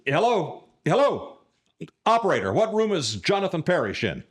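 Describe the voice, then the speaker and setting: A man acting a flustered, excitable police inspector in a live radio play, blustering and stammering. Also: sits high, normal speed, breathy edge, heavily acted. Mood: flustered, urgent. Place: public library live performance before audience.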